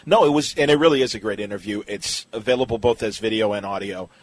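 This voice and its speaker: A man's voice: lowish voice, quick pace, a little hiss or hum. A man hosting a podcast interview in a casual, easygoing, agreeable conversational way.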